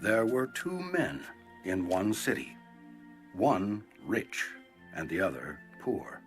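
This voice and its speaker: An actor playing a solemn, stern prophet pronouncing judgment in a measured, dramatized scripture reading. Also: male voice, fairly low pitch, sing-song, faint music behind. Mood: solemn storytelling. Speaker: audio Bible voice actor.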